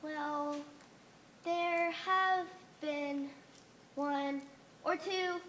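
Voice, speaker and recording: A woman's voice: sits high, steady tone, drawn-out words, a bit bright, a little hiss or hum, exaggerated voice. A young woman playing a stern, solemn religious leader in an amateur stage play, speaking in commanding, declamatory tones. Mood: solemn, preaching. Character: stern authoritarian female religious leader of a conformist society. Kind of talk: amateur one-act stage play acting. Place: theater stage.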